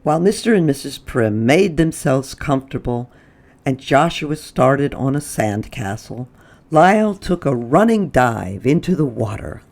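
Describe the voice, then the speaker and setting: A woman reading a children's story aloud as a storytime host, with dramatic emphasis and drawn-out words. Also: very deep voice, expressive, darkish tone. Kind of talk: expressive read-aloud storytelling.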